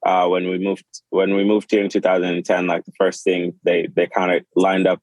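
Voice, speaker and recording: A man in his twenties, from Sudan and Kenya, tells his story as a podcast guest. His voice sits very low and stays flat, with many fillers and restarts. The sound is dry and close. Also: fairly quick, no room sound. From born in Sudan, raised in Kenya. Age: 20s. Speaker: podcast guest, clothing designer. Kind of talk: casual interview.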